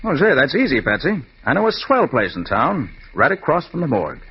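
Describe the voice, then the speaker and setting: An American actor playing a confident, shrewd master detective in 1940s radio style, on an old radio recording. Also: male voice, a little high, huge pitch swings, a little fast, highs cut off, a little hiss or hum. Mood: easygoing.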